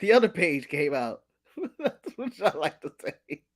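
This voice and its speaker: High-voiced man ranting about wrestling. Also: a little slow. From United States. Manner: animated, ranting, laughs at his own jokes. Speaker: podcast co-host. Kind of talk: casual wrestling recap chat.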